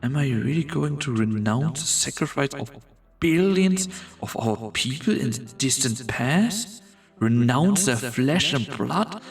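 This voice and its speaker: Man acting a slow, gravelly brooding strategist. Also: a little high, distant mic, heavily acted. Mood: anguished. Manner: solemn, theatrical monologue with drawn-out phrases. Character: brooding, world-weary male strategist called Doctor, torn and grandiose. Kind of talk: fan dub of a fantasy game. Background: background music.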